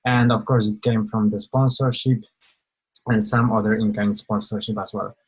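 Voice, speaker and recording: A young man leads an online webinar in a low, flat voice. He talks in an informal, halting way, filling pauses with "uh" and "like" and often restarting a sentence. It sounds like a video call. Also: slightly rough, rough recording. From Poland. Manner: hesitant, many fillers and restarts. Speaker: webinar presenter.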